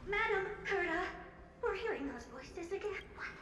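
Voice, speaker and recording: A woman's voice: very high-pitched, animated, normal speed, a loud crowd. A woman voicing an eerie, fawning mirror servant in a video game, mock-reverent, teasing and theatrical. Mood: anxious, deferential. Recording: echoey, very noisy stream game audio.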